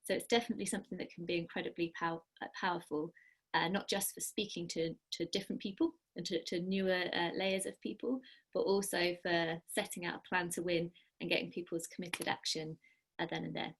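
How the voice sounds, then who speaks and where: Low, flat-voiced woman presenter. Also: fairly quick, breathy edge. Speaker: workshop speaker. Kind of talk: online presentation.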